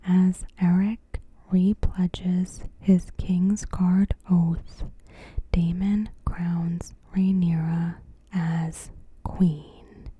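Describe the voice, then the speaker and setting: Very slow, breathy whispering ASMR woman. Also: lowish voice, level tone, lo-fi sound. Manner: soft, unhurried, careful. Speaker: ASMR creator. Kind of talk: ASMR, scripted episode recap narration.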